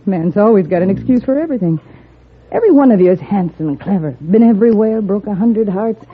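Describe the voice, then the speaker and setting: A woman's voice: mid-range voice, lively intonation, quick pace, husky. An actress playing a cynical, hard-bitten saloon girl in a 1950s radio Western, blunt and sardonic. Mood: scornful. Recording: muffled, thin 1950s radio broadcast.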